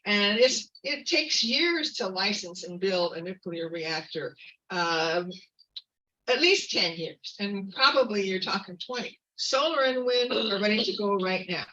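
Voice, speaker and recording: A woman giving an earnest, informal activist talk at a community meeting, halting with fillers and restarts. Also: medium pitch, animated, sharp tone, far from the mic, highs cut off. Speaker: activist speaker.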